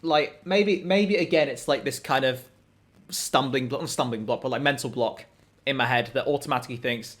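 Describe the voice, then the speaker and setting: Man co-hosting, medium-pitched voice. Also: moderate pace, a bit bright. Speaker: co-host of a music show. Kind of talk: casual music discussion.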